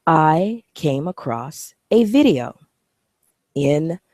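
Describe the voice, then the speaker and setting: A woman's voice: deep voice, very animated, extremely slow, a little mellow, no room sound, studio quality. A woman vlogger talking casually to the camera. She is blunt, swears freely and rambles into asides while clicking through her videos. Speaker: video blogger.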